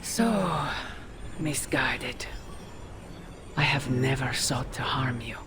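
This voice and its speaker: An actress playing a coaxing demonic mother villain in a video game, speaking slowly and imperiously with soft menace. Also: extremely low pitch, background noise, heavily acted. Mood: soft, sorrowful.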